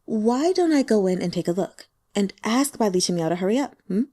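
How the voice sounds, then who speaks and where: Sly female sect protector for fantasy audiobook, played by a woman: coaxing, exasperated beneath courtesy, animated pitch. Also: a little fast, close to an everyday voice. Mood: deferential, wheedling. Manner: soft-spoken, coaxing, teasing.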